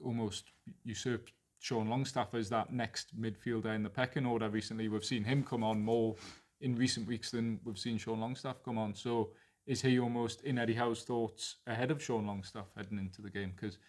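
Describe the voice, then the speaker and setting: A man's voice: fairly low pitch, fairly even intonation, normal speed, darkish tone. A man with a Geordie accent co-hosting a football podcast, talking through team news fluently and thinking aloud. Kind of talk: casual football analysis chat.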